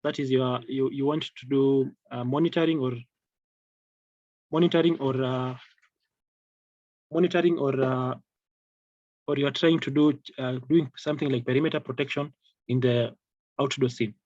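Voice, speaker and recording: A man giving an informal product training over slides in a halting, repetitive, hesitant way. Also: mid-range voice, fairly even intonation, darkish tone, no echo, highs cut off. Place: online video call with screen-shared slides.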